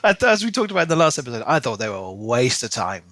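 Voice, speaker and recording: A man's voice: medium pitch, big pitch swings, very fast, a bit bright, no room sound, studio quality. A man co-hosting a book podcast, recapping and discussing a novel. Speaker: podcast co-host. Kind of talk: book discussion podcast, reading a plot recap then casual chat.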